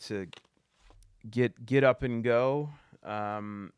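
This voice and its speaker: A man hosting a solo tabletop game show, talking casually to camera in a halting way with lots of fillers and restarts. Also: mid-range voice, steady tone, clean tone.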